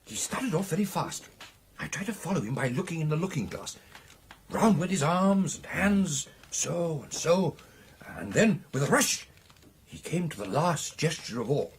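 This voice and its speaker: An actor playing an excitable British gentleman recounting a ghostly encounter in a radio drama, with theatrical, animated delivery. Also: on the high side, normal speed, a little hiss or hum. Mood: excited recounting. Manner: theatrical, animated storytelling with exclamations and hesitations.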